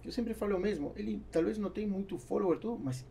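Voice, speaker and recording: A man in his forties with a Spanish-speaking Latin American accent, chatting casually and haltingly as a podcast guest. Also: high voice, vocal fry, a loud crowd. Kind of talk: casual chat. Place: small foam-treated podcast studio. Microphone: close studio mic on boom arm.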